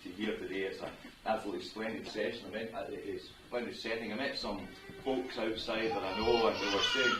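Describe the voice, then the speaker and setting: A man's voice: on the low side, steady tone, a little fast, music in the background. A middle-aged Scottish man officiating a wedding ceremony, chatty and joking with his guests. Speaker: wedding minister. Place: wedding venue indoors. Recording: roomy, distant mic with venue noise.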